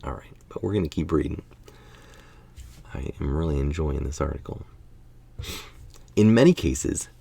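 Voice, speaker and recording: Casting: tired man, middle-aged, low creaky voice, informal article reader who drops in offhand remarks. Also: dry recording. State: tired late at night. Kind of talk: reading an article aloud with casual asides.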